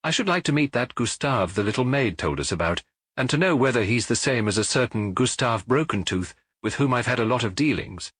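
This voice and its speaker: A man voicing a brisk, suspicious provincial police inspector in an audiobook, businesslike and insistent. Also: mid-range voice, animated, fairly bright tone, slightly rough, dry recording. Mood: confident, suspicious.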